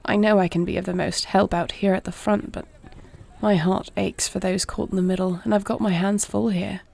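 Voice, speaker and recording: A woman playing a warrior princess wife, heavy vocal fry, formal and measured, restrained intonation, caring yet wry, audio roleplay. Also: medium pitch, steady tone. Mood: concerned, caring. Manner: formal, measured, soft-spoken speech. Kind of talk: audio roleplay acting, addressing the listener.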